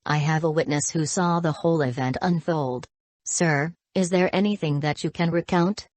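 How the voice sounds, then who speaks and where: A woman voicing a composed, serious TV field reporter with a formal, measured delivery. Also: sits low, darkish tone, dry recording. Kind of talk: scripted news field report.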